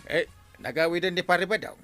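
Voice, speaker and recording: A man's voice: high-pitched, fairly even intonation, brisk, sharp tone, soft background music. A man playing a nosy, incredulous village friend with an Ilocano accent, exclaiming in a radio comedy drama.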